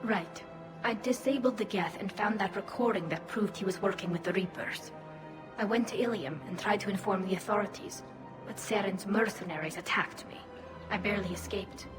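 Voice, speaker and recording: An actress voices a young female alien refugee for a video game. She sounds gentle and earnest, telling things in a measured, reflective way with quiet resilience. Her pitch sits in the middle for a woman, and music plays behind her. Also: lightly acted, rough recording. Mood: recounting, hurt.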